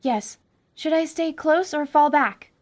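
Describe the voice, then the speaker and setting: A woman's voice: sits high, takes it slowly, pitched above the performer's own voice. A woman voicing a hesitant young woman mercenary who sounds timid and unsure.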